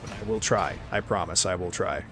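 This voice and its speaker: A man chatting casually on a live stream, excitable and thanking viewers, his voice getting hoarse. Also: sits low, fairly quick, soft background music. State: losing his voice.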